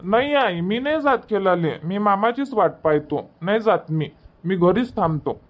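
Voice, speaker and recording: Man acting a whiny, high-pitched little boy. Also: expressive, moderate pace. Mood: pleading, stubborn. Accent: Varhadi Marathi. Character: stubborn, pleading little village boy. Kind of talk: cartoon comedy dubbing.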